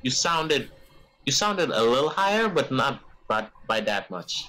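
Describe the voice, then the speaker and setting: A young man in a casual podcast chat over a voice call, halting and restarting his words, laughing often. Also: mid-range voice, sing-song, sharp tone, rough recording. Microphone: computer microphone on a voice call.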